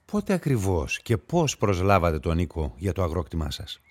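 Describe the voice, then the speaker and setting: An actor playing a methodical police investigator in a radio drama, asking questions in a formal, probing way. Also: male voice, low voice, very animated, a little mellow.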